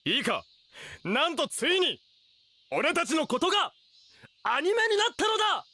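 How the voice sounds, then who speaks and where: A man's voice: very high-pitched, huge pitch swings, moderate pace, pushed and bright, heavily acted. A man playing a pompous, theatrical young self-styled mad scientist in an anime radio drama. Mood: triumphant boasting.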